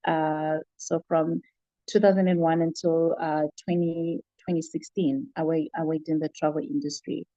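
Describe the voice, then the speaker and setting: A middle-aged woman with a Zimbabwean accent, giving reflective, halting answers as an interview guest. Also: sits low, little pitch movement, mellow, no echo, muffled recording. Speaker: interview guest, child protection worker.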